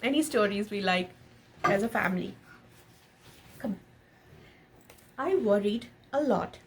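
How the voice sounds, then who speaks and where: A woman reading a picture book aloud in an expressive storytelling style, pausing to explain and ask questions of a child and viewers. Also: mid-range voice. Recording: noticeable background noise.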